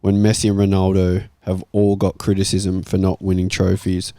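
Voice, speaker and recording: A man with a low, mellow voice hosts a sports chat show. He sounds blokey and casual, reading out comments and slipping into slang, recorded close and dry. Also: monotone, moderate pace, no echo. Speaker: podcast host.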